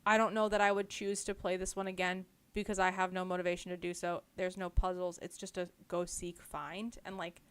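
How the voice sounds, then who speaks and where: A woman's voice: fairly low pitch, level tone, fairly quick, hardly any pauses, clear, resonant tone. A woman with an American accent chatting casually and emphatically as a podcast co-host. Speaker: book club podcast co-host. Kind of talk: casual chat.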